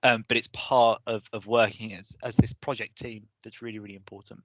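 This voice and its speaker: A man presenting an online course session, hesitant with many restarts, his voice going hoarse. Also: lowish voice, little pitch movement, brisk, fairly bright tone, no room sound, low-quality recording. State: losing his voice. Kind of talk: online webinar lecture.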